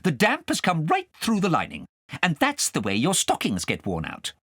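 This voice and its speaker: A man voicing a strict, cross governess in a storybook, scolding in a sharp, fussy, nagging manner. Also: a little high, wildly swooping pitch, moderate pace, breathy edge, no room sound, clean studio sound, voice pushed up, exaggerated voice.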